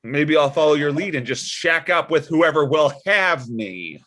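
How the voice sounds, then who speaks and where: A man's voice: a little high, lively intonation, a little slow, fairly bright tone. A man playing an affable, easily flustered older church deacon and father in a comedy table read. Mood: argumentative.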